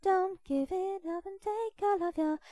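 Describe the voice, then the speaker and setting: A woman sings a cappella in a very high voice, taking her time over each phrase. It is casual amateur singing from memory, unaccompanied, in a dry recording with very little room sound. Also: unhurried, warm tone, no room sound, faint music behind. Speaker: amateur singer and fandubber.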